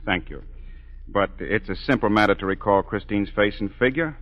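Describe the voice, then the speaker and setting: A man acting a proud, suave opera singer in a 1940s radio drama, smooth, formal and theatrical, with dry sarcasm. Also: fairly low pitch, normal speed, lo-fi sound, lightly acted. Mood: suave, needling. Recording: 1943 radio broadcast with music.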